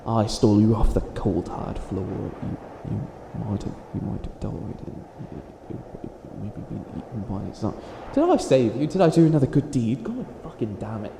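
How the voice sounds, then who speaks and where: A man's voice: on the low side, animated, moderate pace, gravelly, few pauses, reverberant, background noise. A man playing a theatrical, exasperated wicked witch who fails at being evil, stammering through a comedic roleplay monologue. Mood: resigned, muttering, then exasperated, whiny. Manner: exasperated, stammering, melodramatic villain rambling.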